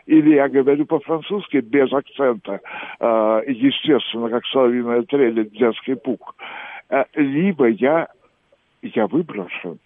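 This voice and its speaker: A man's voice: medium pitch, unhurried, dry recording. Man on a tinny phone line. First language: Russian. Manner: digressive, ornate, many hesitation fillers. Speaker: radio caller. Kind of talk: call-in radio monologue.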